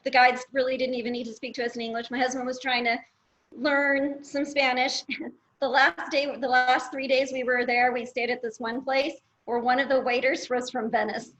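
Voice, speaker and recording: A woman's voice: high-pitched, level tone, normal speed, a bit bright, dull audio. A woman in her fifties, a podcast guest talking in a friendly, easy way about her experiences. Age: 50s. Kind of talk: casual conversation.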